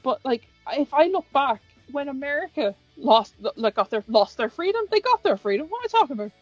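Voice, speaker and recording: An Irish woman in casual, animated chat with a friend, exclaiming and swearing mildly. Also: sits high, brisk, no echo. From Ireland. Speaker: host of a fan chat, who edits it. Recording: muffled lo-fi audio with music behind. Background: music.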